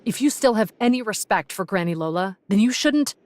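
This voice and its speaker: A woman's voice: medium pitch, lively intonation, a little fast, a little mellow, slight background noise, lightly acted. A woman voicing a composed, loyal, protective comrade in an anime game. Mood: firm, protective.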